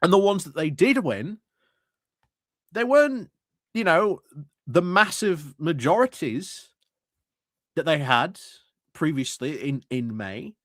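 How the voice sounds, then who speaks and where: A man's voice: on the high side, sing-song, takes it slowly, long pauses, no echo, studio quality. A man giving casual live commentary over a council meeting, mocking and chuckling between remarks.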